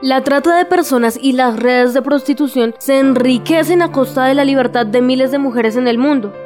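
A woman's voice: sits high, moderate pace, a little mellow, soft background music. A woman radio narrator delivering scripted, informational narration.

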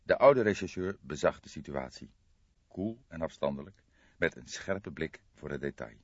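A man with a low voice narrates crime fiction dramatically. His pitch moves in a lively way, and the recording is very dry and slightly dull. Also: moderate pace, husky, no room sound. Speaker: audiobook narrator.

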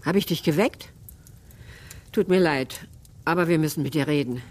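An actor playing a calm, calculating man in a radio crime drama, speaking in a measured, persuasive way. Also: on the high side, close to an everyday voice. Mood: soothing, probing. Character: calm, calculating man pressing a quiet bargain.

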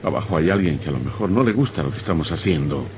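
An actor playing a cautious, reluctant husband in an old radio horror drama. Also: male voice, sits low, animated, quick pace. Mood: wary. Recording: muffled, very noisy old radio recording.

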